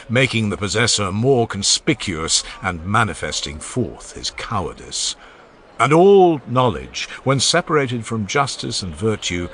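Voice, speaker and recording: A man's voice: lowish voice, fairly bright tone. A man reading a classical funeral oration aloud in a solemn, declamatory way, his long phrases rising and falling. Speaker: audiobook narrator. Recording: faint background ambience and music under the voice.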